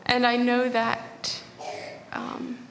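A young woman gives a hesitant testimony in a church hall, speaking slowly in a flat, level tone with a gravelly, creaky edge. She pauses often with fillers. The room adds a long reverb tail over faint ambience. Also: fairly high pitch, a little hiss or hum. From Pennsylvania, USA and Tanzania. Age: young adult. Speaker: Bible school attendee giving testimony. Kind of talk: spoken testimony.

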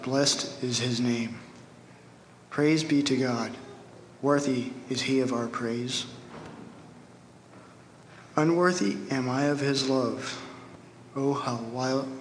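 A young man from Ohio giving an earnest, halting testimony at a Bible school and reading a short poem he wrote. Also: medium pitch, slight background noise. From Ohio, USA. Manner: earnest, halting, reads his poem slowly. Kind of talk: spoken testimony and recited poem. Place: church hall. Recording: echoey hall with long reverb.

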